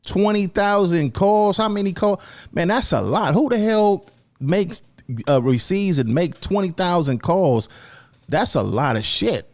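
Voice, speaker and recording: A man's voice: sits high, expressive, measured pace. Blunt, profane man in casual commentary. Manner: blunt, profane, repeats points for emphasis.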